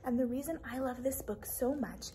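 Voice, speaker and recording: A young woman reading a picture book aloud to small children, expressively, pausing for questions and little asides to her listeners. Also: high voice, mellow, a little hiss or hum. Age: young adult. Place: small indoor library room with a puppet theatre.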